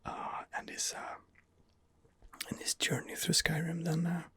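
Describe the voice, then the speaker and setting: A man giving ASMR game commentary in a breathy, husky whisper, hesitant and rambling as he muses his way along. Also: on the high side, completely flat delivery, unhurried, sharp tone. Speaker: video game streamer, channel host.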